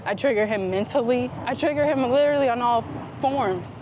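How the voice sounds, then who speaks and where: A woman speaking reflectively and conversationally as a vlog host, with deliberate, halting phrasing and emphatic pauses. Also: a little high, highs cut off. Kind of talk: reflective conversational talk while walking. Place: outdoors, walking up a paved hill. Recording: noisy outdoor recording with traffic.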